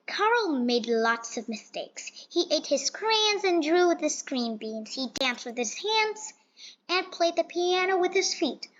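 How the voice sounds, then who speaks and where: A woman's voice: high voice, a bit bright. A woman reading a children's picture book aloud, expressively but with small stumbles and repeats. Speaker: storybook reader. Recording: dull, lo-fi sound.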